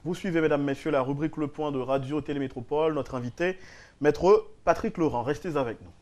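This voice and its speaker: A man's voice: a little high, fairly quick, a little mellow. A man hosting a radio interview, prompting his guest and finishing his sentences. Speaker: radio talk show host.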